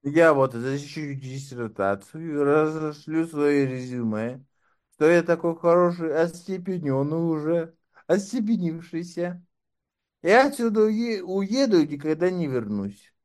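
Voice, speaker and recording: Wry, sardonic man with raised pitch. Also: lively intonation, unhurried, darkish tone. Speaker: interview guest, doctoral candidate. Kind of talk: casual conversation.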